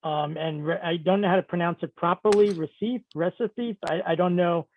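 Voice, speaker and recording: A man's voice: high voice, normal speed. A man on a video call, stumbling over a word and explaining his online group. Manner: hesitant, stumbling on words. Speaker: meeting participant. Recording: dry, phone-like line.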